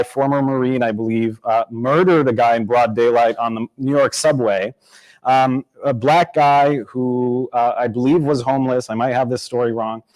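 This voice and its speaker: A man's voice: mid-range voice, a little mellow, dry recording, poor audio. Earnest male journalist, dry close sound. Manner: earnest, pushes back, builds his point. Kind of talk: panel discussion.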